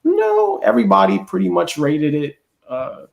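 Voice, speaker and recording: A man's voice: fairly high pitch, wildly swooping pitch, normal speed, gravelly, lo-fi sound. A man chatting casually as a podcast host, opinionated and exasperated, with sardonic mock quotes, in a roomy space. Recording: roomy echoing sound.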